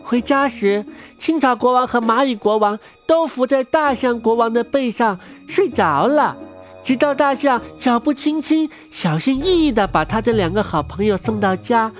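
A woman narrating a children's story in an expressive storytelling manner. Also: on the high side, moderate pace. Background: music.

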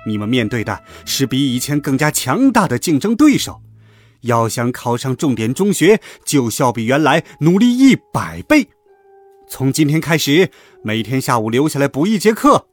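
A stern, cold-faced schoolteacher voiced by a man from a children's storybook. He lectures with strict, demanding emphasis in a slightly husky voice. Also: medium pitch, sing-song, moderate pace, dry recording, soft background music. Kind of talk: children's audiobook character acting.

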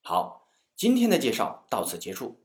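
A man's voice: mid-range voice, wildly swooping pitch, normal speed, darkish tone, reverberant. A man hosting a football show, delivering a scripted match recap in a commentary style. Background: music.

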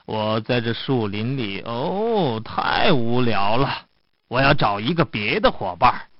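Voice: mid-range voice, huge pitch swings, measured pace, slightly rough, no room sound, highs cut off, exaggerated voice. A storyteller voicing a sly, bossy fiddler from a fairy tale, commanding and coaxing. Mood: musing. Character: sly, bossy fiddler who tricks animals.

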